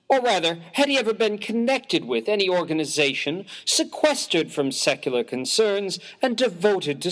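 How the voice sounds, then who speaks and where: A man's voice: high voice, a bit bright, full character voice, low-quality recording. A man playing a prim, earnest, mild-mannered clergyman in a dramatised story reading, speaking in a formal, precise, wordy way.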